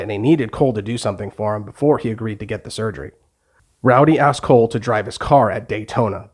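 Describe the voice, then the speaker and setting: A man reading a scripted bedtime story for children, telling it in simple, explanatory terms. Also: medium pitch. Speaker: narrator.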